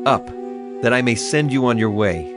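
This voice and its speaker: An actor playing an authoritative male prophet in a dramatized audio Bible, measured and declarative. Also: mid-range voice, expressive, over music, lightly acted. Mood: grave, assured.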